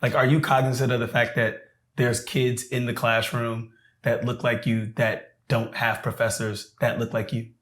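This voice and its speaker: A man co-hosting a podcast, asking quick, curious questions in casual chat. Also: medium pitch, little pitch movement, a bit bright, reverberant. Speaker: podcast co-host.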